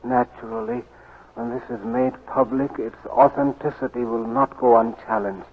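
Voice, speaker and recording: An actor playing a grave, dignified Japanese prince in a radio drama, speaking formally and solemnly in 1940s acting style. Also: male voice, medium pitch, moderate pace, husky. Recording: muffled, phone-like 1940s radio recording.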